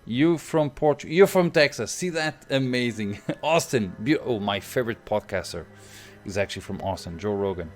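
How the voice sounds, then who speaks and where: A man's voice: mid-range voice, expressive, normal speed, few pauses, soft background music. A young Portuguese man with a Portuguese accent, thinking aloud through a strategy game on stream and chatting with viewers. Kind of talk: live gameplay commentary.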